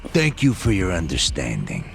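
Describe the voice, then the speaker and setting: Very deep, gravelly yakuza boss. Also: male voice, wildly swooping pitch, normal speed, exaggerated voice. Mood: formal. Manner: blunt, authoritative. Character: stern, commanding male yakuza boss. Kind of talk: dramatic video game dub acting. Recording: faint music behind.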